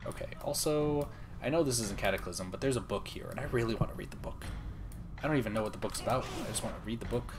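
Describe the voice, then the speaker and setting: Soft-spoken young man near a whisper. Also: fairly low pitch, fast talker, background noise. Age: young adult. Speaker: streamer. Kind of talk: casual gaming stream chat. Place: room at home, late at night.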